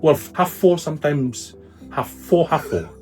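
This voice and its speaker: A man hosting a casual interview, talking in an animated way with many fillers. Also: a little high, unhurried, soft background music.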